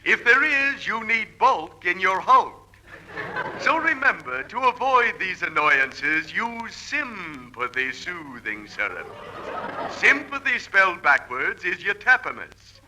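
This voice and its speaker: A man's voice: sits high, big pitch swings, slow pace, heavily acted. An actor playing a grandiose, emphatic patent-medicine salesman in a 1940s radio commercial parody. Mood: hard selling. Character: grandiose, fast-selling male patent-medicine radio announcer. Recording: faintly noisy 1940s radio recording.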